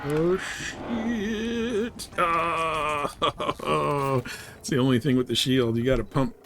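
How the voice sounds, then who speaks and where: A man's voice: on the high side, extremely slow, noisy background. A man giving casual, laid-back live gameplay commentary, thinking aloud as he plays. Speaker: game streamer.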